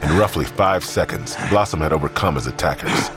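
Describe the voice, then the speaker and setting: Very deep-voiced male narrator. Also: brisk, over music. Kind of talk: scripted audio drama narration.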